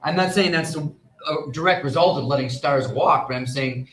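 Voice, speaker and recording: A man giving conversational sports analysis as a panelist on a live stage, measured and reasoning things out aloud. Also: a little high, fairly bright tone. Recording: echoey live stage sound.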